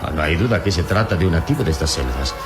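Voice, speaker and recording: Fearless adventurer, low voice, animated intonation, calm, reassuring explainer, radio drama, prominent musical backing. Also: male voice. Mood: calm, explanatory. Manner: measured, reassuring, explanatory, paternal. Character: calm, wise, fearless adult male adventurer hero. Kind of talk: Latin American radio serial acting. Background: dramatic background music.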